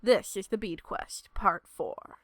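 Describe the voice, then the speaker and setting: A woman's voice: mid-range voice, animated, takes it slowly, a bit bright, no echo, studio quality. A woman narrating a scripted recap for a radio serial.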